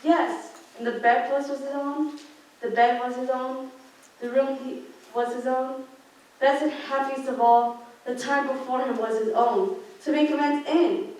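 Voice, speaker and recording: A woman's voice: high voice, fairly even intonation, measured pace, a little mellow. A teenage girl reading scripted story narration for a student radio play, with occasional stumbles. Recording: very echoey room, distant microphone.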